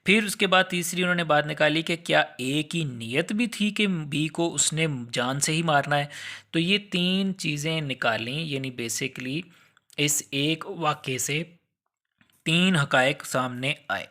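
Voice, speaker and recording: A man's voice: on the high side, measured pace, bright, forceful tone. A man with a Pakistani accent giving an informal explanatory law lecture, mixing English legal terms into his explanations.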